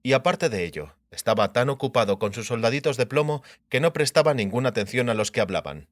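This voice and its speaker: A man's voice: mid-range voice, expressive, fast talker, dry recording, studio quality. A man narrating a literary audiobook from a script.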